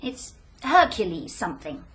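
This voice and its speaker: A woman's voice: mid-range voice, very animated, normal speed, a bit bright, husky, exaggerated voice. An actress playing a flippant, provoking woman of a wealthy family in a radio drama, with an English accent and a playful, challenging manner.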